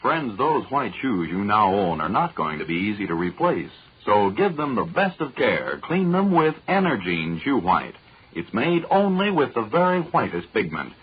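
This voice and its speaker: Male commercial announcer, polished, lively intonation, dull old-radio sound. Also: fairly low pitch, moderate pace. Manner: polished, emphatic. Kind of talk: 1940s radio advertisement. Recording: muffled, hissy 1940s radio recording.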